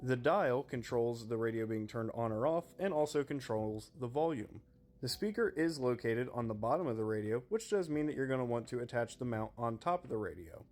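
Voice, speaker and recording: A man's voice: mid-range voice, a little mellow. A man narrating a scripted product review in an explanatory, presenter-like way. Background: background music.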